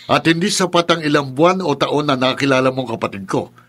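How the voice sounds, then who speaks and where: A man's voice: mid-range voice, a little fast, fairly bright tone, lightly acted. A man playing a firm, protective young adult son and brother in a radio drama, insistent and questioning, with a Filipino accent. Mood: indignant, confronting. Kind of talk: Tagalog radio drama acting.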